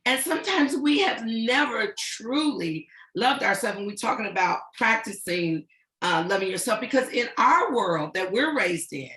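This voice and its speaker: A woman in her sixties hosts an online panel with a booming, encouraging delivery. Her tone is bright and forward. She sounds like she is in an echoey room over a video call. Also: expressive, normal speed. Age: 60s. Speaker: host. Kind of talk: hosting an online panel chat.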